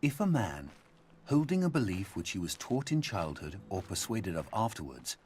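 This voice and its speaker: A man reading scripted philosophical essays in a measured, emphatic, husky voice, as a thoughtful narrator. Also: sits low, big pitch swings, darkish tone, slight background noise. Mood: reflective, earnest. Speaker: voice actor reading quotations for game audio logs.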